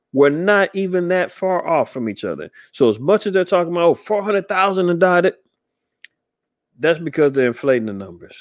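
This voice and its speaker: A man's voice: high voice, dry recording, studio quality. A middle-aged man giving casual, skeptical commentary on a news article, rambling with many fillers and restarts. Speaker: podcast host commenting on news.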